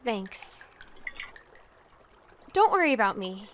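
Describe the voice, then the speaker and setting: A woman's voice: mid-range voice, sing-song, takes it slowly, fairly bright tone, slight background noise. A young woman acting a plucky, sardonic exile in her twenties in an audio drama. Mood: subdued.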